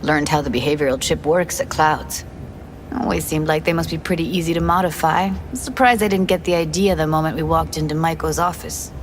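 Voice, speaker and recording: A woman's voice: low voice, steady tone, brisk, slight background noise, close to an everyday voice. An actress playing a blunt, weary young woman tech rebel in a video game, speaking in terse, matter-of-fact phrases. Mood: resigned, explaining. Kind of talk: cinematic game dialogue.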